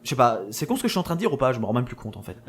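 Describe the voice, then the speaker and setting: A man's voice: mid-range voice, big pitch swings, very fast, slightly rough. A man playing an exasperated young antihero, sarcastic and colloquial, in a comic audio drama. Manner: hesitant, colloquial, snarky. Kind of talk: French comic audio fiction acting.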